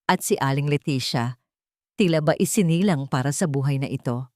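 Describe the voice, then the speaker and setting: A woman's voice: sits low, normal speed, darkish tone, no echo, studio quality. A woman narrating a scripted audiobook drama in a dramatic storytelling manner.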